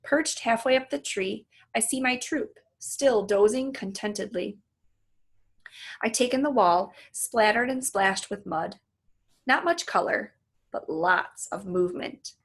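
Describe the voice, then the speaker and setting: Expressive woman reading a children's story, husky voice. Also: medium pitch, a little slow. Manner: animated, acts out the dialogue. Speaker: reader reading a children's book aloud. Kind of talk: expressive read-aloud narration with asides to listeners.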